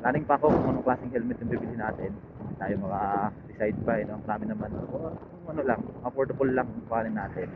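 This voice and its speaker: Casting: male Filipino moto vlogger, casual halting chat, tinny narrow intercom sound, loud engine and road noise. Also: medium pitch. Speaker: motorcycle vlogger. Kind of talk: casual unscripted ride chat to viewers. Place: outdoors, riding a motorcycle. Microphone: helmet intercom headset linked to action camera. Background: loud motorcycle engine and road noise.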